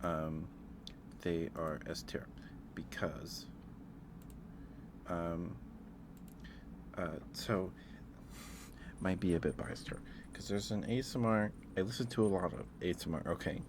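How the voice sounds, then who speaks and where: Male ASMR streamer, low-pitched voice, halting casual rambling, noticeable background noise. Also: fairly even intonation. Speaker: livestream host.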